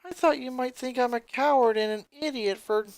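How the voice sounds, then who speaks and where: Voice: high voice, normal speed, no room sound, voice pushed up. A performer voicing an earnest, hurt young boy in a fan fiction story reading. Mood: nervous, earnest.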